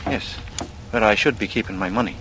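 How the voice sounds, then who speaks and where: An actor in a vintage radio drama playing a vain, sly young charmer with an ingratiating, needling manner. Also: male voice, fairly low pitch, brisk, sharp tone. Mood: casual, pointed. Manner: ingratiating, needling, insinuating, colloquial. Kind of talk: 1940s radio acting. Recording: noisy vintage radio recording.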